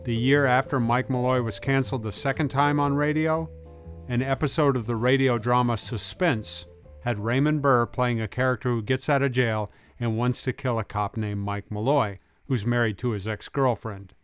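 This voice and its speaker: A man's voice: mid-range voice, steady tone, normal speed, clean tone. A man reading scripted documentary narration in an informal, folksy way. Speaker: video essayist, narrator. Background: music bed with guitar.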